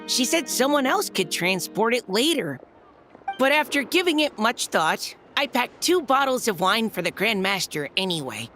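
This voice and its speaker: A woman's voice: a little high, sing-song, moderate pace, fairly bright tone, faint music behind. A woman voicing an earnest, slightly flustered young knight courier in a video game, polite and hesitant. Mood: chatty, earnest. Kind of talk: anime-style video game voice acting.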